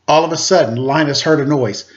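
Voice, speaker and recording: A man reading a children's picture book aloud in an animated, expressive way. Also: a little high, a little fast. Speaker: storyteller for children. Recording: slightly dull lo-fi recording.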